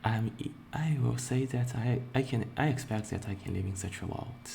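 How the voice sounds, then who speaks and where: A young man introducing himself as a virtual streamer and answering questions in a slow, deliberate way, with playful bursts of excitement. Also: on the low side, creaky, a little hiss or hum. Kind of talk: casual self-introduction and Q&A.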